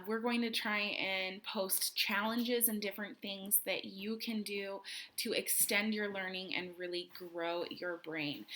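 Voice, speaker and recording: Slow-paced woman teacher reading to children. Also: medium pitch, fairly bright tone. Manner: instructional, addresses children with questions and prompts. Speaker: primary school teacher. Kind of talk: read-aloud storytime for young children.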